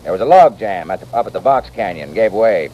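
Casting: male actor as plain-spoken American Old West doctor, dry but expressive, dull vintage radio sound. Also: fairly low pitch, fairly quick, slight background noise, natural voice. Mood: dry, weary. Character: sensible, dry, educated male frontier town doctor. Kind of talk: old-time radio western acting.

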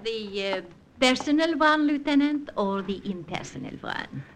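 An actress playing an alluring, teasing Frenchwoman with a French accent and a measured, gently mocking way of talking, in 1940s-50s radio drama style. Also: high-pitched, animated, a little hiss or hum. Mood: teasing, composed.

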